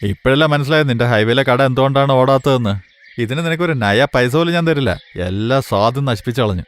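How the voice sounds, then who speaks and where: A man's voice: mid-range voice, fast, lightly acted. A man voicing a blunt merchant customer in a cartoon moral story. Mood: disgusted, angry. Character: blunt male merchant, a demanding roadside customer.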